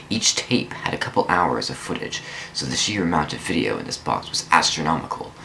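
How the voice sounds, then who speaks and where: A man's voice: rumbling low, gravelly, sharp tone, a little hiss or hum, rough recording. A man giving a theatrical dramatic reading of a first-person story, drawing out words for emphasis. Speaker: amateur dramatic reader of online fan fiction.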